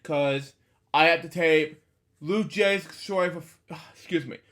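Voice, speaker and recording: A man in his twenties with a somewhat high voice reads aloud casually as the host of a story series. He stumbles over words, then drifts into rambling, off-the-cuff commentary and falls back on the same catchphrases. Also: fairly bright tone. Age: 20s. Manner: halting, stumbles over words while reading; rambling asides with repeated catchphrases.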